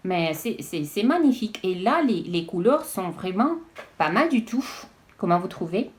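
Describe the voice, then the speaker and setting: A woman's voice: mid-range voice, very animated. Hesitant woman unboxing beauty products. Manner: hesitant, halting, full of restarts. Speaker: beauty vlogger. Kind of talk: casual unboxing chat.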